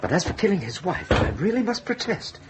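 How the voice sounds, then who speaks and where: An actor with a British accent playing a proper, courteous doctor in a radio drama, formal and old-fashioned in manner. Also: male voice, high voice, sing-song, a little fast, slight background noise. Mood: indignant, shocked. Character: proper, courteous, easily shocked gentleman doctor.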